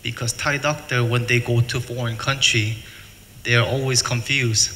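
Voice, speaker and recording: A middle-aged man from the United States presenting at a seminar, speaking plain, halting English and repeating words. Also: mid-range voice, level tone, sharp tone. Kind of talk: conference presentation. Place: hotel function room. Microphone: table mic, filmed from afar. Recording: reverberant hall, faint noise.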